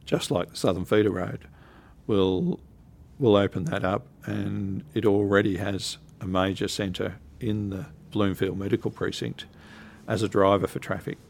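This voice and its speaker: Gravelly, husky man explaining a road plan. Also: on the low side, fairly even intonation, darkish tone. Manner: measured, with frequent ums. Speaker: council technical services director. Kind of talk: interview answers.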